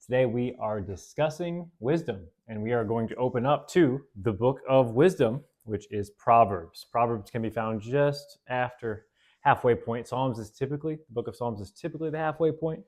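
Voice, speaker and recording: Brief: male host, relaxed Bible study teacher, chatty with fillers, reads verses slowly. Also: medium pitch. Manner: relaxed, conversational, with fillers and self-corrections. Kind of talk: casual Bible study talk.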